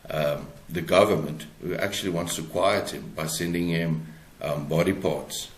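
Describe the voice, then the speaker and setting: Deep-voiced South African man, roomy sound. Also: steady tone, a little hiss or hum. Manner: halting, searching for words. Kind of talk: conversational interview, explaining own book.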